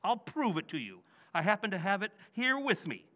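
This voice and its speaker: A man's voice: high-pitched, huge pitch swings, a little fast, bright, forceful tone, highs cut off, full character voice. A man playing a bumbling, blustering working-class husband in an old-time radio comedy, exclamatory and folksy in grammar. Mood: indignant, pleading.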